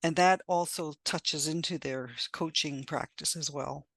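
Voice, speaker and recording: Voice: medium pitch, unhurried, gravelly, a bit bright, no room sound. Speaker explaining in a dry close sound.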